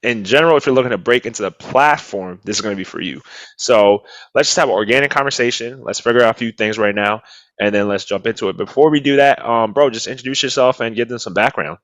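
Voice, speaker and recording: A male co-host with a hyped, slangy delivery. His voice is recorded dry and close, with no room sound. His pitch is ordinary for a man. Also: fast, a bit bright, dry recording. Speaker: podcast co-host. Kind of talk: casual hosted interview.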